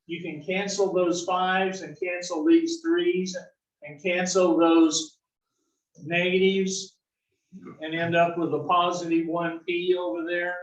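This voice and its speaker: A man's voice: high voice, flat delivery, takes it slowly. A man from the south-central US teaching an algebra class, folksy and fond of corny jokes, often checking in with "right?". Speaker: algebra instructor. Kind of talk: classroom lecture. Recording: echoey classroom, distant mic.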